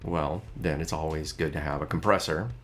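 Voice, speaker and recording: Middle-aged guy with a low voice rambling about his synth rig, some music underneath. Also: moderate pace, fairly bright tone. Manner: chatty, rambling with fillers. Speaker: musician demonstrating gear. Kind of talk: casual gear demo.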